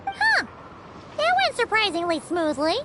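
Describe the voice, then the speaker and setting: A woman's voice: very high voice, huge pitch swings, measured pace, very noisy, heavily acted. A woman voicing a small chirpy female fairy companion in a game, who talks about herself by name. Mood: surprised.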